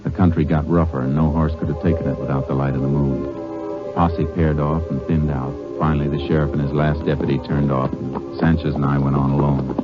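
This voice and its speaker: A steely Texas Ranger from a 1950s radio western. His American voice is very low and he speaks in terse, matter-of-fact lines. The old recording is dull, with music in the mix. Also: level tone, a little fast. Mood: grave narration. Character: Texas Ranger, steely, resolute lawman who narrates his cases. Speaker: lead actor and narrator.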